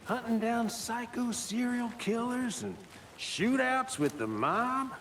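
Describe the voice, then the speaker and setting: A man's voice: very high-pitched, animated, slow pace, a bit bright, far from the mic, slight background noise, full character voice. A man playing an eager, naive small-town sheriff's deputy in a video game, with a folksy, chatty, starstruck manner. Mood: eager, excited.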